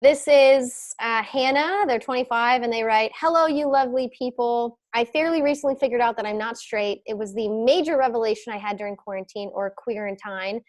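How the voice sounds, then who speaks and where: Young female podcast host, higher-pitched, irreverent, joking conversational delivery with loose swearing. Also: brisk, hardly any pauses. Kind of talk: casual chat.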